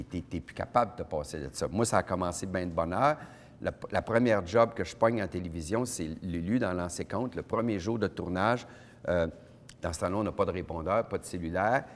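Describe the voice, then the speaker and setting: A middle-aged man with a Québécois French accent giving a lively conference talk, telling anecdotes with punchy sound words. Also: lowish voice. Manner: animated storyteller with sound words. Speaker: keynote speaker. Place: event hall at a winery. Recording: long reverb of a hall.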